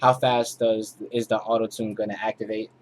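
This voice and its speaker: A man's voice: fairly low pitch, little pitch movement, moderate pace, poor audio. A man giving a casual, informal tutorial as a music producer, explaining things step by step. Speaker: music producer teaching vocal mixing.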